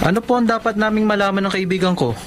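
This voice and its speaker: A puzzled young Filipino man played by an actor in a radio horror drama. He speaks politely and hesitantly in a high voice, with clear noise behind him. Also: fairly even intonation, quick pace, natural voice. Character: puzzled, polite young male friend Elmer.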